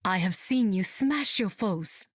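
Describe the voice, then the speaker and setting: Breathy, solemn swordswoman. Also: medium pitch, lively intonation, measured pace, dry recording, clean studio sound. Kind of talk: video game character dialogue.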